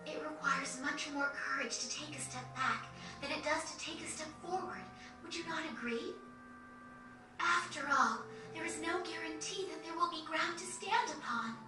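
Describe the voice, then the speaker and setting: Game heroine played by a woman: young noblewoman, earnest, formal delivery, high voice, music underneath. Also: normal speed, natural voice. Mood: earnest pleading. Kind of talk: dramatic video game cutscene acting. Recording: echoey, distant, music behind.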